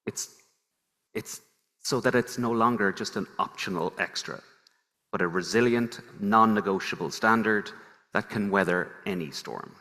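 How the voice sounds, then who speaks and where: A man's voice: on the low side, unhurried, sharp tone. A man moderating a conference panel, polished but with frequent restarts as he frames the discussion. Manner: polished, with restarts as he sets the agenda. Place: large conference hall. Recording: long hall reverb.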